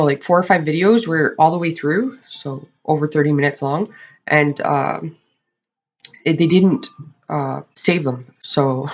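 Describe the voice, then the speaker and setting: A tarot reader with a hoarse, crackling voice from losing it, giving a casual monthly reading to camera and often checking in with the viewers. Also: mid-range voice, lo-fi sound. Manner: chatty, often checks in with "okay?"; clears throat often.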